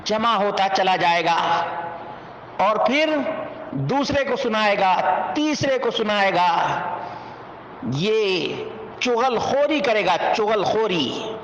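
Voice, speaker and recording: A man's voice: sits high, fairly bright tone. A man delivering an Islamic sermon as a preacher, earnest and exhorting, repeating key words for emphasis. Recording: dull, noisy, echoing hall recording.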